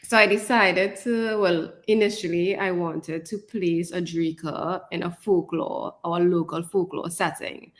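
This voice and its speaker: Low-pitched woman chatting. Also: fairly even intonation, unhurried, few pauses. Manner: conversational, often adds "right?" as a tag. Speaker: podcast co-host and author. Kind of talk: casual podcast chat.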